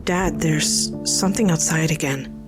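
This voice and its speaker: An actress playing a troubled, hesitant young woman confiding softly in a fantasy audio drama. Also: deep voice, unhurried. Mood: uneasy. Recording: music clearly behind the voice.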